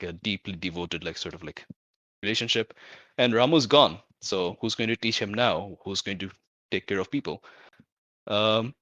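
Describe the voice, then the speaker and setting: A man with a fairly deep, bright voice talks through tabletop game ideas as a player. He speaks informally and haltingly, working out his thoughts as he goes, in a dry, close sound. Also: no room sound. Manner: halting, thinking aloud, informal with swearing. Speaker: player in a tabletop roleplaying show. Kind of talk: casual out-of-character game discussion.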